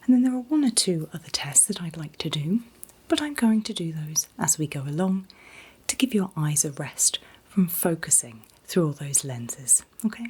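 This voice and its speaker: A woman in a gentle, soothing ASMR roleplay as an optician, whispering breathily and reassuringly without hurry. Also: low voice, expressive, moderate pace. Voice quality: breathy, mostly unvoiced whisper. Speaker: ASMR performer playing an optician.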